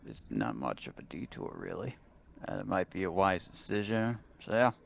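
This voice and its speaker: A man playing a tabletop game, talking casually in a halting, musing way, with faint noise on the recording. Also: low-pitched, big pitch swings, vocal fry.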